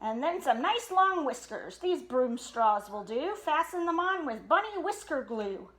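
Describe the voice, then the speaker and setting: A woman plays a silly storybook papa bear, bursting with big ideas. He exclaims boastfully in a high voice that swoops up and down. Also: very animated, unhurried, fairly bright tone, heavily acted, rough recording. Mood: excited, inspired.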